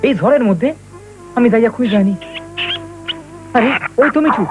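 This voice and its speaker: A man's voice: extremely high pitch, normal speed, muffled recording, loud music behind the voice. A man with a Bangladeshi accent playing a concerned, practical village man in a children's cartoon. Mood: worried.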